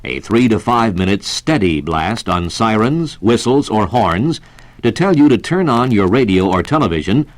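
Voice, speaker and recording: An American man reading a scripted 1960s civil defense radio announcement in a measured, formal, instructional manner. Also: on the low side, sing-song, no room sound. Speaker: public information announcer.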